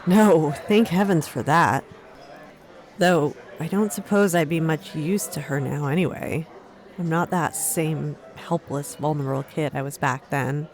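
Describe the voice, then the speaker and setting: A woman's voice: low-pitched, unhurried, darkish tone. A woman acting a guarded, reflective young storyteller in a fantasy audio drama. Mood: guarded, wistful. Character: woman tavern storyteller, twenties, recalling a hard youth.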